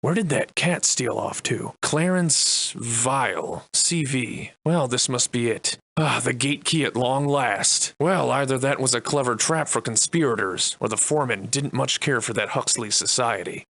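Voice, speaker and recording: Man voicing a bright, sardonic old-fashioned gentleman adventurer. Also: medium pitch, unhurried, vocal fry, few pauses. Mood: wry, musing. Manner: florid archaic diction, theatrical and wry. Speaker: voice actor. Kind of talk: theatrical video game character acting.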